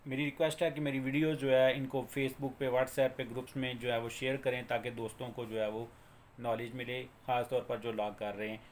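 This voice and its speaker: Man, Pakistani accent, medium pitch, informal law lecturer, explanatory, repeats and rephrases, mixes in English terms. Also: a little hiss or hum.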